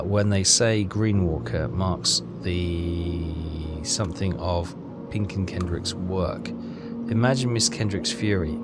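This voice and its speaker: A man's voice: low voice, background noise. A man giving casual game commentary, muttering and hesitant as he thinks aloud. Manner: muttering, hesitant, puzzling things out. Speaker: gamer doing a walkthrough. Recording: music behind the voice. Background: game music.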